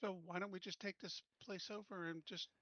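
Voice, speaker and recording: Bright joking player at a tabletop game, trips over words, dry dull audio. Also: mid-range voice, fairly even intonation, a little fast, no echo. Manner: casual, joking, stumbles on words. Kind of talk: tabletop roleplay table talk.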